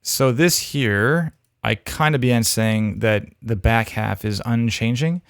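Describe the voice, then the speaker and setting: A man's voice: medium pitch, takes it slowly, gravelly, smooth, no echo. A man hosting a livestream, casually thinking aloud as he gives frank critique of demo reels on a close mic. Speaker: host reviewing demo reels.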